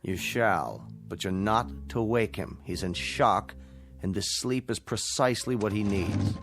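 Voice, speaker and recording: Stern, coldly sardonic scientist over music. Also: male voice, lowish voice, creaky, fairly bright tone. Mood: grave, measured. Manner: measured, formal, sardonic, deliberate. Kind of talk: radio drama acting. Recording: music bed under the voice.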